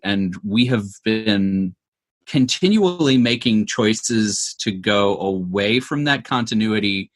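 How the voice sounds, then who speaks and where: Low-voiced man explaining as a guest. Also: a bit bright. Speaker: interview guest, comedy show writer. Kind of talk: explanatory interview talk.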